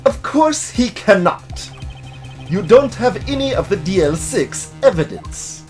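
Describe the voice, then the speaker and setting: A man voicing a haughty, menacing older male prosecutor in a video game, with pompous, drawn-out emphasis. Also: high-pitched, sing-song, faint music behind. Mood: smug. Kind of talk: video game dub acting.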